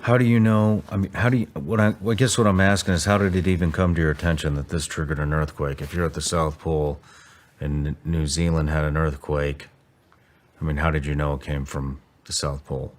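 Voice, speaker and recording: Male podcast host, notably low pitch, conversational, putting brief and direct probing questions to a guest. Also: steady tone, a little fast. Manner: plain, probing short questions.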